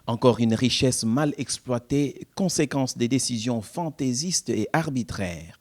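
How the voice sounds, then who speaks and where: A man's voice: medium pitch, lively intonation, unhurried, a little mellow. A man narrating a scripted news report in formal, literary phrasing.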